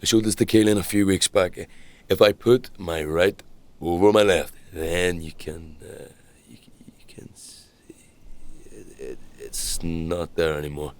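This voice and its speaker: Halting, low, gravelly young-sounding man. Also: fairly even intonation. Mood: uneasy, confiding. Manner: halting, stammering, searching for words. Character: uneasy, self-deprecating man changed by mystical blood. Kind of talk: audio drama acting, naturalistic dialogue.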